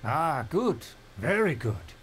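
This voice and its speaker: A man's voice: fairly high pitch, big pitch swings, slow, a little hiss or hum. An actor playing a haughty, fussy nobleman in a video game, with formal, old-fashioned and pompous diction. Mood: condescending approval. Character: pompous, haughty aristocratic male noble, fussy about etiquette.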